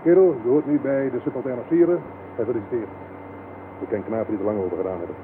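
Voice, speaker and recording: An actor playing a smug, jovially callous army general in a radio play, patronising and cajoling. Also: male voice, mid-range voice, quick pace, close to an everyday voice. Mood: pompous, dismissive. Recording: noisy, tinny 1970s radio play recording.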